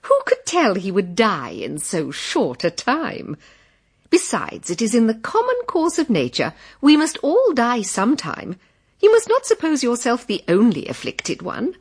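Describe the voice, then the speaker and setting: A woman's voice: a little high, wildly swooping pitch, measured pace, slightly rough, heavily acted. An actress playing a haughty middle-aged mother and employer, lecturing condescendingly in a period audio drama. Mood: cold, scolding. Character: haughty, unfeeling upper-class mother and employer.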